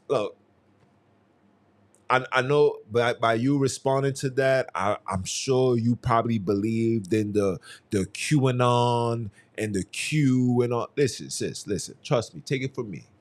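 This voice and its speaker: A young man hosting a solo podcast, talking in a heated, emphatic way and often repeating his phrases. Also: medium pitch. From Haiti. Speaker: podcast host. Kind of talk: casual solo commentary.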